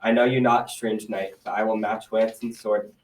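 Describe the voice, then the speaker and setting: A young male as a flat, formal guard captain. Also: lowish voice, moderate pace, close to an everyday voice. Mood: resolute. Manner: formal, declaiming. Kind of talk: school radio drama acting. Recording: echoey, distant, faint noise.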